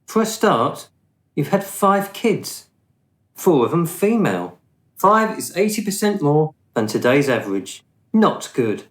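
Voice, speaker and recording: A man acts a smug, officious bureaucrat of the afterlife in a radio play. He talks slowly and deliberately, condescending and drily mocking, with an expressive, swooping pitch.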